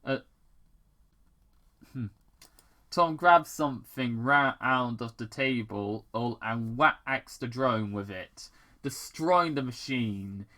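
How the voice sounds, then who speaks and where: An amateur reader narrating fan fiction aloud in a halting way, stumbling and repeating words. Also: mid-range voice, bright, forceful tone. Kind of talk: amateur story read-aloud.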